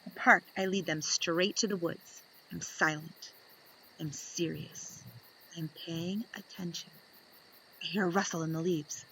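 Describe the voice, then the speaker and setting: A woman's voice: on the low side, steady tone, fairly bright tone, a little hiss or hum, rough recording. Woman reading aloud to children. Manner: deliberate, with dramatic pauses. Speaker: children's story reader. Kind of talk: expressive read-aloud for young children.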